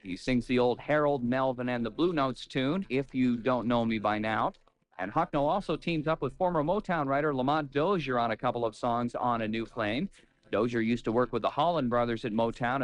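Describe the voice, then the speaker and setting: A man's voice: mid-range voice, normal speed. A man reading scripted entertainment news on the radio, with chatty asides.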